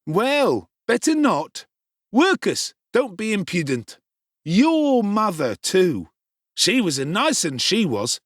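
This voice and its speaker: A man's voice: high voice, wildly swooping pitch, takes it slowly, no room sound, studio quality, pitched above the performer's own voice, exaggerated voice. A man voicing a sneering, cowardly teenage boy who taunts and then shrieks for help. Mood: jeering.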